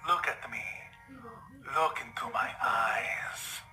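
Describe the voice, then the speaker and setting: A man's voice: mid-range voice, takes it slowly, bright, forceful tone, faint music behind, poor audio. A man voicing a menacing, courtly vampire stallion in an audio story, with an Eastern European accent and a pleasantly lilting, threatening delivery. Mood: hypnotic coaxing. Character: menacing, courtly male vampire stallion stranger, possessive father.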